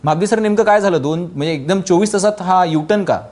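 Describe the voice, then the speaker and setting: Male debate anchor, higher-than-average voice, very quick pace, probing and insistent. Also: darkish tone. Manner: insistent, interjecting hums while guests speak. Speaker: TV debate show host. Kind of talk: news debate moderating, probing questions.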